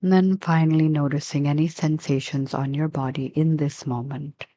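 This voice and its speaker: A woman leading a guided meditation as a teacher, giving gentle, unhurried instructions with long pauses. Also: deep voice, fairly even intonation, lo-fi sound.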